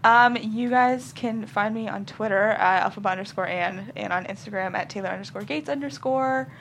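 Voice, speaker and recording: A woman's voice: mid-range voice, moderate pace, creaky, a bit bright. A young woman chatting casually as a guest writer on a panel. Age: young adult. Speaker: guest screenwriter. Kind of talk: casual interview chat. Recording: faint background room noise.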